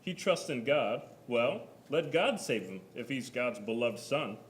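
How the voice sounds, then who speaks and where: A man's voice: medium pitch, animated, unhurried, a little hiss or hum, lightly acted. A man playing a mocking bystander at an execution, taunting and declaiming in a staged Bible reading. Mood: scornful, taunting.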